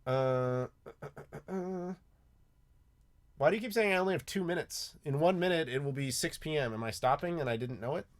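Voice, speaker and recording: A man's voice: a little high, lively intonation, normal speed, pauses often. Chatty man rambling on a live stream. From United States. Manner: chatty, rambling, lots of ums and restarts. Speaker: YouTube host answering live chat. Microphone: desk mic with clacking mechanical keyboard.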